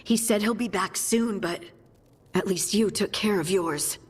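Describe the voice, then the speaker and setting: A woman voicing a seasoned, earnest adventurer in a fantasy video game, with a husky voice. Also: medium pitch, unhurried. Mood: troubled. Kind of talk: video game cutscene acting.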